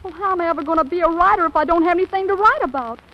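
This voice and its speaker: A high, girlish voice for an impetuous teenager in love. She pleads with melodramatic force in old radio drama style. The recording is muffled and dated. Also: rapid-fire. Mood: earnest, defiant. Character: impetuous, lovesick fifteen-year-old girl. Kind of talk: 1940s radio drama acting.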